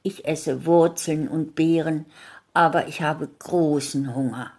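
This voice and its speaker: A gentle, lonely young wolf from a storybook, as a woman reads him. He speaks slowly and softly, in a low, kindly voice. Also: steady tone, full character voice.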